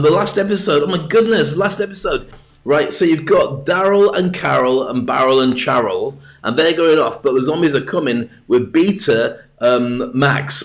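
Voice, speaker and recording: A British man hosting a livestream, talking in a chatty, jokey, rambling way into a webcam mic at his desk. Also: mid-range voice. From Britain. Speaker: livestream host. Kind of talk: rambling casual monologue.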